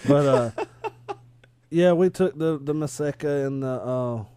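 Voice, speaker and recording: A man from south Georgia with a Southern accent, co-hosting a casual talk show in a relaxed, joking, rambling way. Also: on the high side, slow, slight background noise. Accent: Southern American. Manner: laid-back, joking, rambling. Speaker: co-host. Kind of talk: casual chat.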